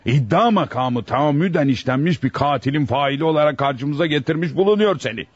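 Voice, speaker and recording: An actor playing a stern, fatherly presiding judge in a radio drama, speaking formally, deliberately and with authority. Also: fairly high pitch, animated, no echo. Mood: stern, admonishing. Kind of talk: Turkish radio theatre acting. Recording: muffled, dry lo-fi radio recording.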